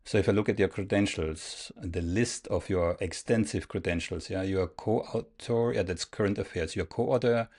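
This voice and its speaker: A man's voice: low-pitched, normal speed, hardly any pauses, a little mellow. A man hosting a political interview, making an earnest, emphatic appeal to his viewers before questioning his guest. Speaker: interview host. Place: in the Netherlands.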